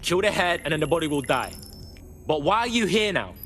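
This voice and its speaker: Brash, animated London street hacker. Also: male voice, fairly high pitch, brisk, a little hiss or hum. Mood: impatient. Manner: slangy, blunt, streetwise. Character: brash, sceptical young male street hacker. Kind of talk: cinematic video game acting.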